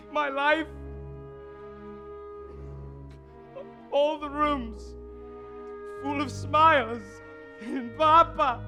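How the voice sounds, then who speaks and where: A man's voice: extremely high pitch, sharp tone, heavily acted. A teenage male stage actor playing a frightened, dying young composer who stammers, halts and breaks into nervous laughter. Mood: wistful anguish. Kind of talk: theatrical stage acting. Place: theatre stage.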